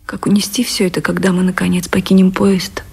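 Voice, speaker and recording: An actress playing an anxious, devoted gentlewoman in love, speaking earnestly in refined, wistful radio drama style. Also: lowish voice, little pitch movement, brisk, creaky, slightly rough, full character voice. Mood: gently curious. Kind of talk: Russian radio drama acting.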